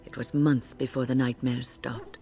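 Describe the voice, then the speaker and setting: Thoughtful, haunted female mage for a fantasy game: dark, soft tone with fry, solemn and measured. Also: very deep voice, little pitch movement, soft background music. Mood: grave, haunted. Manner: measured, grave, reflective. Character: earnest, thoughtful woman mage haunted by fear of possession. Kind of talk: video game dialogue acting.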